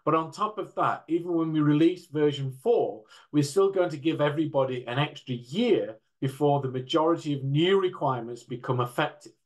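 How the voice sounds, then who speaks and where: An older man giving a measured, explanatory slide presentation as a panel speaker on an indoor video call. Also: fairly high pitch. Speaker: panel speaker from a standards council.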